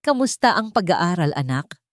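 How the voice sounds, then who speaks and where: A woman voicing a warm, gentle father of a young girl in an audio drama. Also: lowish voice, sing-song, normal speed, dry recording, studio quality. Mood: warm, affectionate.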